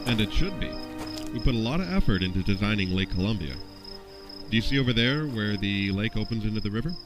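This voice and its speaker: A man's voice: on the low side, animated, fast, music in the background, close to an everyday voice. A man playing a proud fleet admiral in a science-fiction audio drama.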